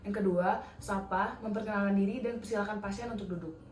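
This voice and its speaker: A woman's voice: medium pitch, fairly even intonation, fairly quick, echoey room, a little hiss or hum. A young woman, a dental student, demonstrating a radiography procedure and methodically working through numbered steps. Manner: polite, addresses patient in role-play. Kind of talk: instructional skills demonstration.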